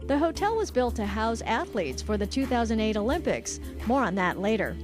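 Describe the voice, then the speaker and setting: A woman host from Hong Kong narrates a travel show with a bright, upbeat, conversational delivery. Her voice sits a little high. A clear music bed plays under her voice-over. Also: big pitch swings, moderate pace.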